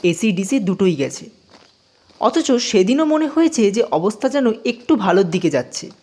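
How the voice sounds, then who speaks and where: A woman narrating a scripted audio story in an expressive storytelling manner. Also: medium pitch, moderate pace, breathy edge.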